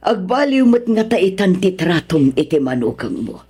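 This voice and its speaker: Lively older scolding wife. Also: female voice, lowish voice, moderate pace, breathy edge. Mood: scolding, worried. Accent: Filipino. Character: older scolding wife, exasperated yet caring. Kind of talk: Ilocano radio drama acting.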